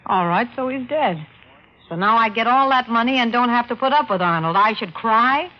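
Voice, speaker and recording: An actress playing a brash, unsentimental gold-digging widow in an old radio crime drama, blunt and slangy. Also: female voice, on the high side, lively intonation, moderate pace, close to an everyday voice. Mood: brazen, unsentimental. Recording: muffled old-time radio recording.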